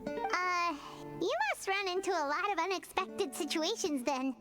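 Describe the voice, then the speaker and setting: Tiny, squeaky, bouncy game sidekick; third-person chatter over game music. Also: female voice, moderate pace, sharp tone. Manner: squeaky, talks about herself in third person. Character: tiny excitable floating companion girl. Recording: game audio with music underneath.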